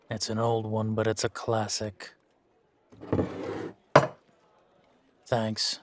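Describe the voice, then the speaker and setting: A man playing a gruff, world-weary fantasy monster hunter in an audio roleplay, wry and aloof, with a laconic, deadpan way of talking. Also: on the low side, slow pace. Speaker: voice actor in fan-made audio roleplay. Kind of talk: slow in-character audio roleplay.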